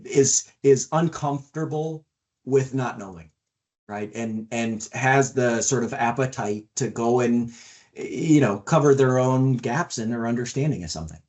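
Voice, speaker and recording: A man's voice: mid-range voice, a little slow, darkish tone. A man on a panel, speaking conversationally and often checking in with "right?". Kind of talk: panel discussion.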